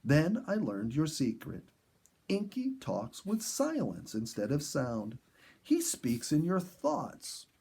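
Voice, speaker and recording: A man voicing an earnest, thoughtful young girl in a storybook, gentle, curious and sincere. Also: a little high, wildly swooping pitch, slow, gravelly, husky, full character voice. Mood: thoughtful, wondering.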